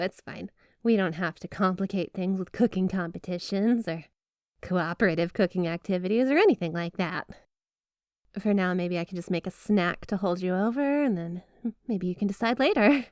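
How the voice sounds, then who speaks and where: A woman's voice: medium pitch, darkish tone, dry recording, clean studio sound. A woman playing a flirty, obsessive yandere snow spirit wife in an ASMR roleplay, gushing, coy and sweetly doting. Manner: gushing, coy, playfully suggestive. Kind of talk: ASMR roleplay addressed to the listener.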